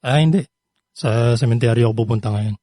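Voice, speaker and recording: An actor with a Filipino accent playing a grieving, guilt-ridden young husband pleading in an emotional radio drama. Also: male voice, medium pitch, a little fast, warm tone, no echo, clean studio sound, natural voice. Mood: downcast.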